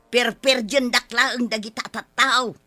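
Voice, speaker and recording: A woman's voice: fairly high pitch, fairly even intonation, a little slow, sharp tone. A woman playing a stubborn, scolding older village mother in a radio drama, with a piercing voice. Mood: indignant. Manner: scolding, combative. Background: music.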